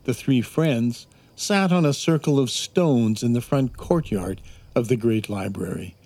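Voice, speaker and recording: A man narrating a scripted children's adventure story in a measured, dramatic storytelling manner. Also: mid-range voice, a little mellow. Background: instrumental music.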